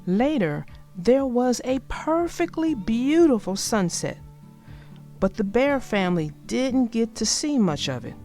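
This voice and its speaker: A woman narrates a children's story in a very animated voice. She takes her time, phrasing things dramatically as she speaks to her listeners. Soft music plays behind her. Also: a little high. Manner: deliberate, dramatic phrasing, addresses listeners directly. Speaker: read-aloud storyteller.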